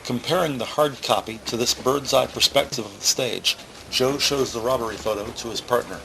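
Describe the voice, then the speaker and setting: Bright-voiced male crime drama narrator. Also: mid-range voice, moderate pace, gravelly, a little hiss or hum, rough recording. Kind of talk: scripted present-tense crime drama narration. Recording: faint music behind.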